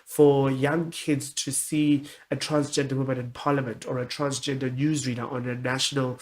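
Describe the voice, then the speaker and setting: Woman, deep voice, young adult, interview guest, candid, expressive, stop-start phrasing. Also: moderate pace. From South Africa. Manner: candid, expressive, with many restarts. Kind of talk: casual interview conversation.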